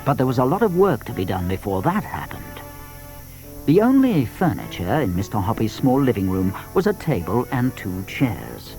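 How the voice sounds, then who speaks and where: Male British narrator for a children's audiobook: expressive, animated delivery in a medium register, light music behind. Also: mid-range voice, normal speed, poor audio. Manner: expressive storytelling. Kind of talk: scripted children's story narration.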